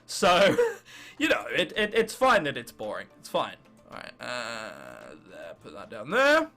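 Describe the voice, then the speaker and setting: A man in his late teens with an English accent giving casual, sarcastic gameplay commentary, self-mocking and freely sweary, with an excitable delivery. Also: mid-range voice, a little slow, faint music behind. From England. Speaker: gaming video host.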